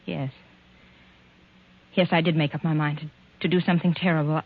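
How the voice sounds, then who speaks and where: An actress playing a frightened, guilt-ridden wife, faltering and pleading in 1940s radio drama style. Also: female voice, low-pitched, little pitch movement, normal speed. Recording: tinny phone-like 1940s radio broadcast.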